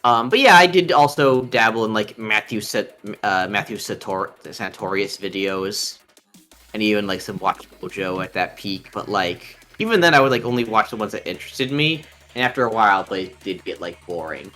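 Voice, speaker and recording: A man's voice: lowish voice, normal speed, bright, forceful tone. A young man with a nasal voice, chatting casually on a live stream, rambling with many ums and restarts. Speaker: stream co-host.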